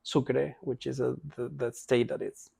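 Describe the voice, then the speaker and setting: Gravelly Venezuelan man, dry mic. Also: mid-range voice, level tone, measured pace, mellow, no echo. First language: Spanish. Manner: rambling, many restarts and fillers, laughs easily. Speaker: podcast guest. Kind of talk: casual interview talk.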